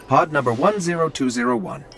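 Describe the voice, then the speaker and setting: A man voicing a small, wistful, inquisitive robot companion in a video game, musing aloud in an expressive, conversational way. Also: a little high, fairly quick, creaky, slight background noise. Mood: somber recollection. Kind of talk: scripted video game character dialogue. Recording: game clip with loud background ambience.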